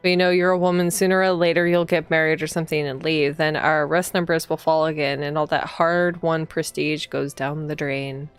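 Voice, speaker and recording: A woman's voice: low-pitched, level tone, brisk, hardly any pauses, clean tone, faint music behind. A woman voicing a snide, condescending male police officer in a visual novel, mocking and easygoing.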